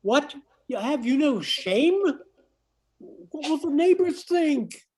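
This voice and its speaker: A woman's voice: high voice, animated, takes it slowly, a little mellow. An older woman playing a flustered, prim suburban husband in a comic radio play, stammering and indignant.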